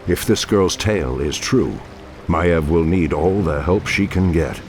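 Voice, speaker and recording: Deep, grave night elf archdruid. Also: male voice, soft background music. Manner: solemn, measured, authoritative. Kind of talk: fantasy game cutscene acting.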